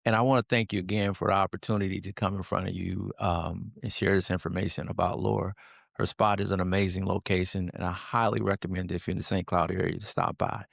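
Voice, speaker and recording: A man's voice: sits low, level tone, quick pace, creaky, dry recording, studio quality. A man hosting an interview podcast, upbeat and encouraging, laughing along with his guest. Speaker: podcast host.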